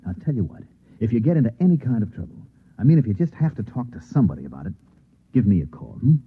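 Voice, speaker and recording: Easygoing American newsman, wry and smooth, lively delivery, tinny vintage radio sound. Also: mid-range voice, fast. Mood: reassuring. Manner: smooth-talking, wry, reassuring. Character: easygoing, protective newspaper reporter narrating his own story. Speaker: actor in a radio play. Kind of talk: old-time radio drama acting. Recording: tinny narrow-band vintage radio, noisy.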